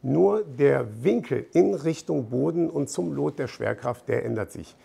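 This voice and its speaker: A middle-aged man explaining fitness equipment to camera in an informal, deliberate way, as an expert presenter. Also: medium pitch, expressive, creaky, mellow, slightly rough. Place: bright gym showroom indoors with large windows.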